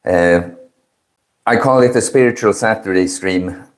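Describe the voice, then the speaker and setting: A man in his early thirties with a low-pitched voice, talking slowly to the viewers of his livestream. His delivery is chill and low-key, like an easygoing, informal chat. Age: early 30s. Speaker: livestream host. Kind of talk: casual chat with live stream viewers.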